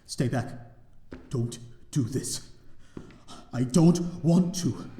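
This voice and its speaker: Lonely gothic vampire, male actor, audio roleplay. Very mellow and dark, stammering, remorseful, old-fashioned diction, unhurried. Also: medium pitch, echoey room, pitched above the performer's own voice. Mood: anxious pleading. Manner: halting, stammering, formal old-fashioned phrasing. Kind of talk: scripted ASMR audio roleplay.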